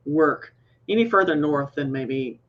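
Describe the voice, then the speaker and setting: A woman with a Southern American accent hosting a career chat, speaking deliberately in a low, somewhat nasal tone. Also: highs cut off. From Southern United States. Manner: deliberate, carefully enunciated speech. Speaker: career coach, host. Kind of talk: casual chat.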